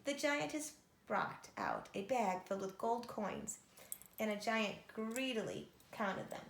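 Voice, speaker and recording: Female children's storyteller: expressive narration, noticeable vocal fry, roomy recording. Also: a little high, unhurried. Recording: echoey room.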